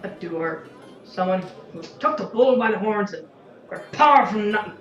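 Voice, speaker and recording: A woman's voice: mid-range voice, animated, normal speed, a bit bright, distant mic, lightly acted. A woman acting as a cynical, ambitious merchant in an audio drama. The delivery is wry and confiding. The sound is roomy and slightly dull, with music underneath. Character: cynical, ambitious self-made merchant councillor. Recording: echoey, dull recording with light music.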